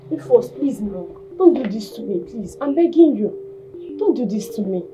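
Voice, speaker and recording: A woman's voice: a little high, animated, moderate pace, highs cut off, over music, natural voice. A woman with a Nigerian accent playing a frightened young housemaid who pleads and begs in a comedy skit. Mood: pleading. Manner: pleading, begging.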